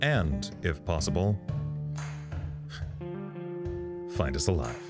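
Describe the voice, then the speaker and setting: An actor playing a wryly philosophical staffer at a trapped research site, musing dryly in an audio drama log. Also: male voice, a bass voice, big pitch swings, normal speed, loud music behind the voice. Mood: wry resolve. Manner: musing, dry, wry.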